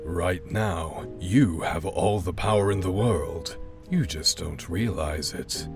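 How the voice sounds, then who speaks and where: A man's voice: low-pitched, takes it slowly, vocal fry, full character voice. A man playing a smug, sinister casino wish-granter in an audio drama, coaxing in a theatrical manner. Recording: music under the voice.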